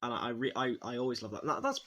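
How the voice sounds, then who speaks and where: A man's voice: mid-range voice, huge pitch swings, racing, pushed and bright. An Irish man, an audio drama actor, chatting casually and effusively, often stammering and restarting his phrases. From Ireland. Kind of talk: casual behind-the-scenes chat.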